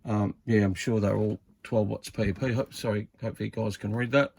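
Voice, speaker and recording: A man's voice: fairly low pitch, fairly even intonation, fairly quick, darkish tone. A man giving a casual, informal unboxing commentary, muttering to himself as he handles things. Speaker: hobbyist reviewer of vintage CB radios. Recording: clear background noise, handling clicks.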